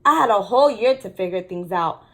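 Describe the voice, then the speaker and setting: A woman's voice: mid-range voice, lively intonation, brisk. A woman teacher reading a story aloud to students and explaining it in an instructive way. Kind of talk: read-aloud storytelling with lesson commentary.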